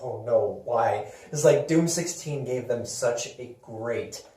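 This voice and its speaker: A man in his twenties chatting informally as a podcast co-host, halting and correcting himself as he goes. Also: medium pitch, dark tone. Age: 20s. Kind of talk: casual chat about games and movies. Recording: echoey room, distant from the mic.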